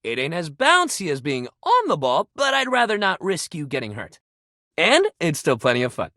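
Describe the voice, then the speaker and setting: An eager gym bro from a video game, voice swings all over, super dry close recording, casual slangy coach talk. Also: male voice, a little high, wildly swooping pitch, normal speed, sharp tone, dry recording, full character voice. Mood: encouraging, upbeat. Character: upbeat, eager sports-loving gym buddy, anxious to please. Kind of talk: animated video game voice acting.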